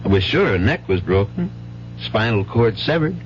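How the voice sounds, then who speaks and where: A man's voice: lowish voice, big pitch swings, normal speed, a little hiss or hum. A man playing an earnest, worried young hospital intern in a 1970s radio drama, with a grave manner. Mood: baffled. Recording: muffled vintage radio broadcast.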